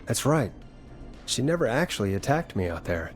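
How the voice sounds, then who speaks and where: A composed late-night radio DJ in a 1980s-set video game. The voice sits at an ordinary pitch, wry and presenterly, with faint music underneath. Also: male voice, very animated, normal speed.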